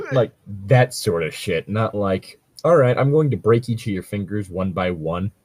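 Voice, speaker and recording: Low husky guy rambling about tabletop games, swears a lot, dry recording. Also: lively intonation, a little slow, darkish tone, no room sound. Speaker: co-host reading and discussing stories. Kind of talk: casual chat about tabletop role-playing.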